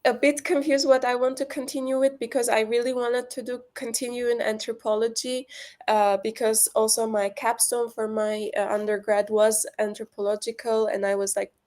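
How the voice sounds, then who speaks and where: A young woman from Lebanon speaks as the guest on a webinar, talking casually and often restarting her sentences. Her voice sits fairly high and stays within a narrow, flat range. She comes through a video call. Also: normal speed. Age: young adult. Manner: casual, frequent fillers and restarts. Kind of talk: webinar interview.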